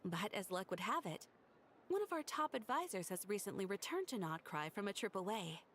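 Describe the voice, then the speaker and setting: A woman's voice: medium pitch, lively intonation, a little fast, a bit bright, slight background noise, close to an everyday voice. A woman voicing a polite, formal female guild receptionist who explains things helpfully in video game dialogue. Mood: courteous. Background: game music.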